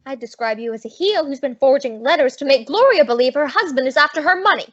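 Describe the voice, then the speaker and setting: A high-pitched, brash young woman played by an actress in an old-time radio comedy. She is plucky and slangy, quick to bristle with indignation. The recording is a little dull and lo-fi. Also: lively intonation, a bit bright. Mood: indignant.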